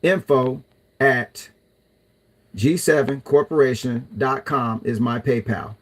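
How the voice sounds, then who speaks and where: A man's voice: mid-range voice, steady tone, slow. A man hosting a livestream, casually reading viewer comments and chatting back in with a loose, rambling manner. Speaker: livestream host reading chat.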